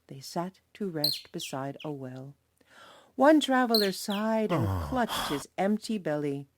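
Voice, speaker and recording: Slow, expressive woman reading a storybook. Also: fairly low pitch, noisy background. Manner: slow, expressive, lively voices for characters. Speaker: teacher reading aloud.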